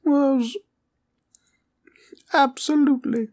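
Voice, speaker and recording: A woman playing a sweet, innocent talking teddy bear in a comfort roleplay, speaking in a gentle, quaint, reassuring way. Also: sits high, steady tone, slow pace. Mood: innocent, agreeable. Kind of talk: roleplay comfort audio acting.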